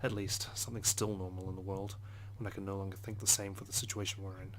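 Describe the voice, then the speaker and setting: A man's voice: sits low, flat delivery, fast talker, slight background noise. A man reading visual novel narration aloud in an introspective, first-person manner. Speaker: let's play narrator.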